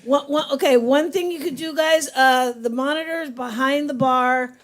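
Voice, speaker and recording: A woman with a low voice hosting an open mic in a coffee shop, calling out acts with lively energy. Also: normal speed. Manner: lively, shouting introductions.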